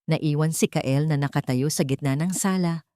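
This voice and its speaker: A woman's voice: low-pitched, normal speed, warm tone, no room sound, studio quality. A woman with a Filipino accent reading a dramatic love story as a scripted narration.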